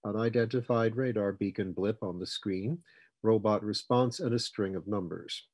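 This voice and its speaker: A man voicing a feisty, sharp-tongued old grandmother aboard a spaceship in an audiobook, brisk and folksy. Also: fairly low pitch, breathy edge, no room sound. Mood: terse, alert.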